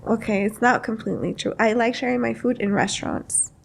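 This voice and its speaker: Expressive, higher-pitched woman podcast host. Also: fairly quick, vocal fry, a little hiss or hum. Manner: expressive, opinionated, emphatic. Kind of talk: casual chat.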